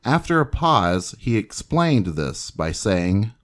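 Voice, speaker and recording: A low-voiced man narrates history from a script. His intonation rises and falls widely, and he tells it in a measured, dramatic storyteller's way. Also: very animated.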